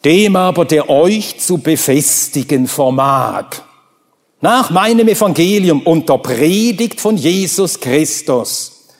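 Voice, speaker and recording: Male preacher, native Swedish, emphatic sermon delivery with soaring high exclamations, fairly high voice, long hall reverb. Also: expressive, takes it slowly. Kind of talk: sermon with Bible reading.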